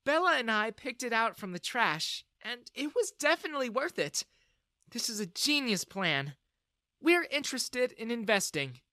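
A man's voice: extremely high pitch, big pitch swings, normal speed, sharp tone, no room sound, studio quality, voice pushed up, heavily acted. A man voicing a kindly, apologetic married mother in a dramatized story, polite and earnest. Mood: eager.

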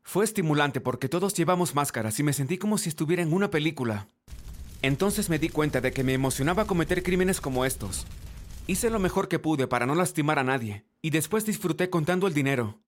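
A man's voice: on the high side, lively intonation, fairly quick. A man reading a scripted first-person story as narrator.

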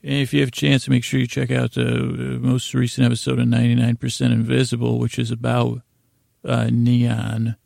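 A man hosting a podcast with a gravelly, creaky voice, rambling informally in a halting, meandering way, repeating himself and trailing off mid-thought. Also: medium pitch, completely flat delivery, a little mellow, no echo, studio quality. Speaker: podcast host.

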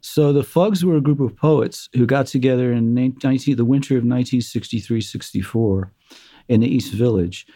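A man, a musician being interviewed, telling animated stories and acting out what others said, with many fillers. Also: mid-range voice, fairly quick, dry recording. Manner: animated storyteller, acts out quotes, many fillers. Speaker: guest, musician. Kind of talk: conversational interview storytelling.

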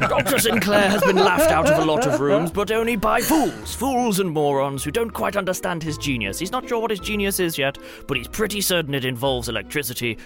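A man chatting casually as a player at a tabletop game, with a hesitant, playful manner. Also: on the high side. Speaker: player and podcast company founder.

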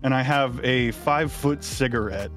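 A man's voice: medium pitch, moderate pace, a bit bright, faint music behind. A man hosting a casual behind-the-scenes podcast chat about his creative work. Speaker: podcast host and audio drama creator.

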